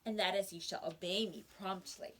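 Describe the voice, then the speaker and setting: A woman's voice: mid-range voice, steady tone, moderate pace, far from the mic, close to an everyday voice. A woman reading the part of a firm, commanding brother in a children's storybook. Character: stern older village brother, firm.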